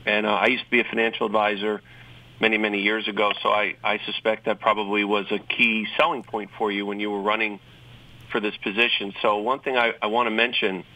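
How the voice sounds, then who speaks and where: A man with a New York accent talks in a bright, forceful tone as a guest on a talk panel. He keeps things chatty and drops joking asides, restarting phrases often. His voice comes from a little way off the mic. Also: lowish voice, level tone, a little fast, distant mic, poor audio. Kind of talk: conversational talk show remarks.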